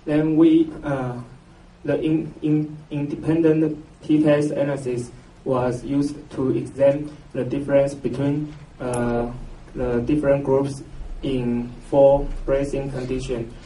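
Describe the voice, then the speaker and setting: A man from Taiwan with a Taiwanese accent gives a scientific conference presentation, halting and hesitant, with very frequent filled pauses. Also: mid-range voice, level tone, warm tone. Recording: roomy, noisy, distant mic, muffled echo.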